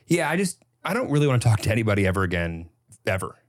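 A man's voice: fairly low pitch, sing-song, rapid-fire, dry recording, studio quality. A man in his thirties co-hosting a podcast, teasing his fiancée affectionately in casual chat. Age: 30s. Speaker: podcast co-host.

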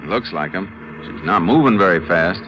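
An actor in a 1950s radio drama playing a steady, methodical Texas Ranger, speaking and narrating in a calm, businesslike way. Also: male voice, low voice, normal speed, slight background noise, rough recording. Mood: focused, decisive. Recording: old radio broadcast with music.

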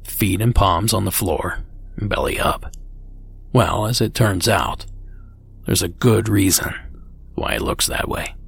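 Low gravelly male podcast host. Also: slow, long pauses. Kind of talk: scripted podcast narration.

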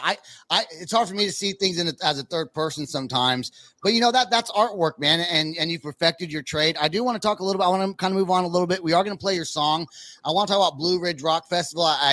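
A man's voice: fairly high pitch, fast, bright, forceful tone, no echo. A man hosting a podcast, talking informally in a casual interview style. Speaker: podcast host.

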